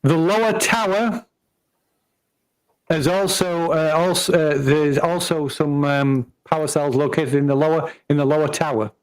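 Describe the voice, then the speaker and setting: A man running a tabletop roleplaying game as game master, halting and often searching for his words. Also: on the high side, rough recording. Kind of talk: live tabletop roleplaying session narration.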